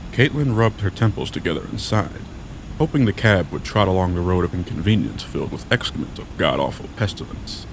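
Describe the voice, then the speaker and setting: A man's voice: low voice, expressive, fairly quick, gravelly, a little hiss or hum, poor audio. A man narrating a scripted gothic audio drama in a florid, dramatic manner.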